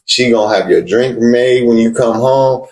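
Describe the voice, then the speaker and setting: A man's voice: mid-range voice, normal speed, darkish tone. A man joking and rambling in a casual group chat, laughing often. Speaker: podcast co-host. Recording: echoey room.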